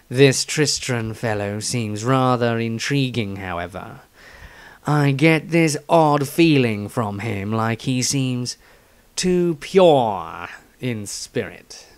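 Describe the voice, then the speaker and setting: Guy doing an uneasy secretive man reading his diary, real slow, lowish, grave and brooding. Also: clear, resonant tone, no room sound.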